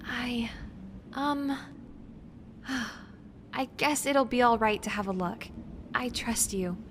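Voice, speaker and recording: A woman's voice: a little high, takes it slowly. A woman playing a careful, bookish young researcher in an audio drama, earnest and precise, hesitant when unsure. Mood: hesitant, reluctant. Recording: faint background noise.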